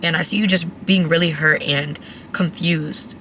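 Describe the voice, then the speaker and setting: A raspy, hoarse woman talks straight to her viewers through a tarot reading, chatty and blunt, with an easy habit of swearing. Her tone is bright and forward despite the strained voice. A faint hiss of noise sits behind her. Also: low voice, steady tone, moderate pace. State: hoarse, voice cracking. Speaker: tarot reader. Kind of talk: casual tarot reading to camera.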